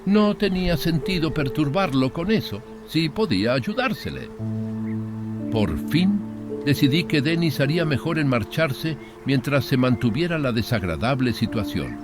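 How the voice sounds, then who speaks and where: A man narrating a scripted horror story in a measured, literary storytelling manner. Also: on the high side, big pitch swings. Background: background music.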